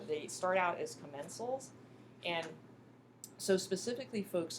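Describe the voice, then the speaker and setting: A woman lecturing to a college class in a folksy, encouraging way, prompting students with questions as she goes. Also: sits low. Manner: folksy, addresses students often as "you guys" and "folks"; encouraging, question-and-answer teaching. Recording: clearly noisy classroom recording.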